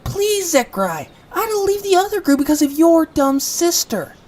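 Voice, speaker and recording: Casting: whiny little tagalong kid, very high and wildly animated, exclamatory, for an audio cartoon. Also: female voice, normal speed. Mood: whiny, sheepish. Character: small, whiny, childlike tagalong adventurer. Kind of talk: animated audio drama acting.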